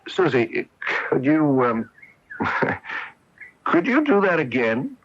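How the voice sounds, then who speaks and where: An actor playing an earnest, wistful professional golfer in a radio mystery drama, with a husky voice. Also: male voice, fairly high pitch, wildly swooping pitch, slow, heavily acted. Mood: affectionate, earnest. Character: wistful, earnest male professional golfer recalling his wife. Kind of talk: radio mystery drama acting, narration and dialogue. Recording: dull lo-fi AM radio broadcast.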